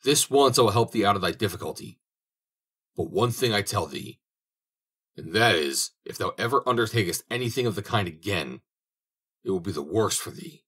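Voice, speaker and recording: A man's voice: on the low side, animated, moderate pace, a bit bright, slightly rough. A man voicing a stern holy saint who scolds in old-fashioned speech, in an audiobook reading.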